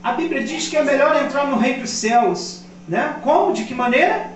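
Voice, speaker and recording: A man in his fifties, a pastor from Brazil, preaching a sermon that swells louder on key points. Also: extremely high pitch, expressive, moderate pace, a little hiss or hum. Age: 50s. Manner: impassioned preaching, rising loud on key points. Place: church. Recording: echoey church hall, mic at a distance. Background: congregation responding.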